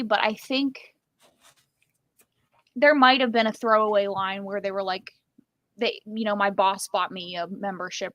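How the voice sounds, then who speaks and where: A woman's voice: medium pitch, a bit bright, no echo, lo-fi sound. A woman co-hosting a casual book discussion in a conversational, dryly wry way. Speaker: book podcast co-host.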